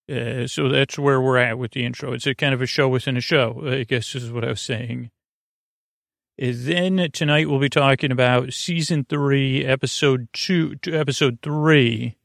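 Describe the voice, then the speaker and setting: A man hosting a bedtime podcast in a gravelly, creaky voice, meandering and halting as he drifts off on tangents. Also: medium pitch, no echo, clean studio sound. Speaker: podcast host. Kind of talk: rambling bedtime podcast monologue.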